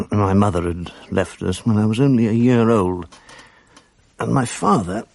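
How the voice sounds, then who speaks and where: An older man narrating his memories in a wistful, measured way. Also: on the low side, creaky, a little mellow, no echo. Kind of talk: reflective radio drama narration.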